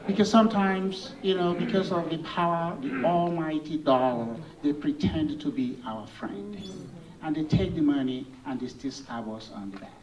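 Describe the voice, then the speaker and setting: Folksy man with a high voice, from a distance. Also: moderate pace, a crowd in the background. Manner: folksy, rhetorical questions, clears throat. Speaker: congressional candidate. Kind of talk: candidate forum answer. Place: event hall. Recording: distant mic with faint room noise.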